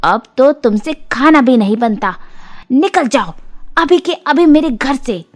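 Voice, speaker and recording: A woman's voice: high-pitched, expressive, unhurried. A woman voicing a harsh, scornful middle-aged aunt in a storybook cartoon, scolding and ordering people about. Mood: furious. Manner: scolding, sneering, commanding.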